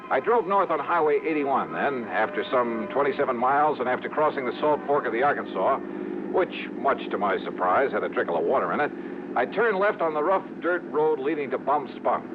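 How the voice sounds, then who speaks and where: An actor playing a tough, wry insurance investigator in a radio noir drama, brisk and hard-boiled, with an American accent. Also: male voice, medium pitch, lively intonation, over music, close to an everyday voice. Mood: dry narration. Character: wry, tough freelance insurance investigator narrating his case. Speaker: lead detective and narrator. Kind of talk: 1950s radio noir acting. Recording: tinny, noisy old radio recording.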